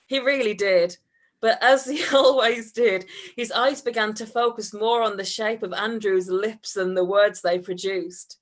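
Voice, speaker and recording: A woman giving a live reading of comic fiction, giggly and prone to breaking into laughter. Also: medium pitch. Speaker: reader at a comedy event.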